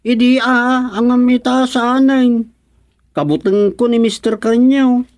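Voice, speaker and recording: A woman's voice: fairly high pitch, measured pace, a little mellow, dry recording, lightly acted. Schoolboy role, female actor: Ilocano accent, stubborn, troubled, sullen, radio drama delivery. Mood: uneasy.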